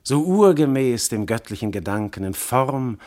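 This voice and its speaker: An actor playing an amorous, commanding god disguised as a husband, speaking classical verse solemnly and intensely in a radio drama. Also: male voice, mid-range voice, big pitch swings, moderate pace, darkish tone, lightly acted. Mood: tender, soothing.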